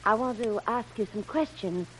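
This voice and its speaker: A woman's voice: fairly high pitch, moderate pace, a bit bright, natural voice. A woman playing a frightened but commanding, suspicious captive young woman in melodramatic, stammering 1930s radio style. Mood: suspicious, probing. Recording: noisy old radio recording.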